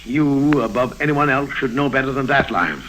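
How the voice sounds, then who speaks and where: An actor in a 1950s radio drama playing a proud, embattled governor, stiff, formal and exasperated. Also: male voice, mid-range voice, expressive, moderate pace, a bit bright, close to an everyday voice. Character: proud, embattled male state governor, indignant and desperate. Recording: noisy old 1950s radio recording.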